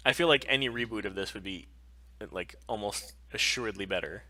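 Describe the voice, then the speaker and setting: A man's voice: on the low side, fairly quick, pushed and bright. A man co-hosting a casual chat stream, giving opinions in an emphatic, rambling way. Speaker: co-host of a weekly pop culture stream.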